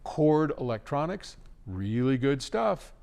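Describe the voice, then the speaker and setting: A man's voice: medium pitch, very animated, takes it slowly, mellow. A man hosting a question-and-answer talk, speaking in an informal, conversational way as he gives advice. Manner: conversational, informal, with frequent throat clearing. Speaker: host answering listener questions.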